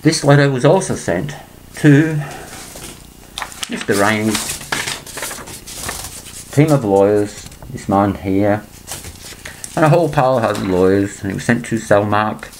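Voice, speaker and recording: An older man from England, speaking into a laptop webcam in a small room, rambling and clearing his throat between points. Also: on the low side, lively intonation, measured pace, long pauses, a little hiss or hum, low-quality recording. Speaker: vlogger. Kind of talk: rambling conspiracy monologue to webcam.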